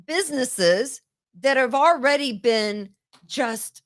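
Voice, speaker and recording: A woman hosting a political talk show, talking in an indignant, rapid way and often repeating herself. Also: sits high, animated. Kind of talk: opinionated political chat. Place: New York.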